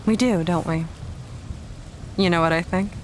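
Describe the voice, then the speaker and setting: A woman's voice: lowish voice, measured pace, a little hiss or hum, lightly acted, poor audio. A woman playing a thoughtful, teasing, affectionate adventurer in an audio drama, speaking in a hesitant, reflective, conversational way. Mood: musing. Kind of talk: fan audio drama acting.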